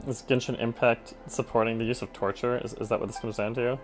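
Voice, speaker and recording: A man's voice: mid-range voice, level tone, fast. Dry-humoured man streaming a game. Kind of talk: casual live gameplay commentary. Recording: game music behind voice. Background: game music.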